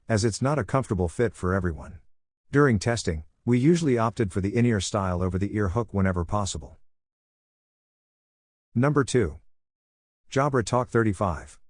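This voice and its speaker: A man's voice: lowish voice, darkish tone, no room sound, clean studio sound. A man reading a scripted product review as a narrator.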